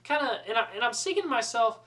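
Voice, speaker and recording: A man telling a casual, humorous story in an animated, chatty, self-deprecating way. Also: extremely high pitch, fast. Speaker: student pilot storyteller.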